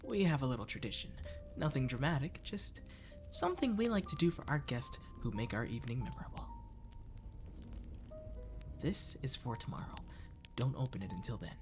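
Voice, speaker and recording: A man as a sweet, animated catboy waiter. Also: mid-range voice, normal speed, long pauses, over music. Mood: sweet, flirty. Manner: courtly, coaxing, gently playful. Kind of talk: flirty comfort ASMR roleplay.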